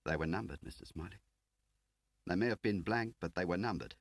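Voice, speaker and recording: A man's voice: low voice, brisk, dry recording, voice pushed up. A man voicing a cocky, brash field agent on the run, talking in slang, in a spy audiobook. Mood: glib.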